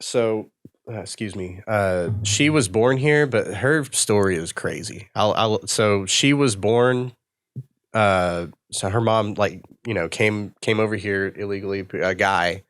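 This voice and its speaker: A man's voice: fairly low pitch, unhurried, a bit bright, no echo. A man with a Texan accent and a dry-sounding voice, chatting as a comedian guest on a podcast, rambling and laughing as he tells stories. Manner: loose, rambling, laughing storyteller. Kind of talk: casual podcast chat.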